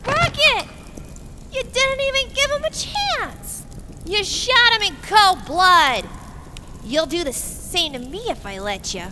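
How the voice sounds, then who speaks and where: A high, wildly swooping voice for a desperate crook in a vintage radio melodrama, played by a woman. She is emphatic and defiant, over clearly audible background noise. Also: lively intonation, slow, exaggerated voice. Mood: bitter, defiant. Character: Jeanette, desperate female criminal, fearful, defiant, melodramatic. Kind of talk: Golden Age radio drama acting. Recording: noisy radio drama recording.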